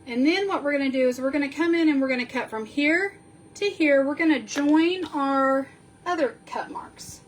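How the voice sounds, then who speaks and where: A woman sniffling a little as she slowly talks through a card-making tutorial, counting measurements aloud while she works. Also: high voice, a little hiss or hum. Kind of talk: step-by-step crafting tutorial.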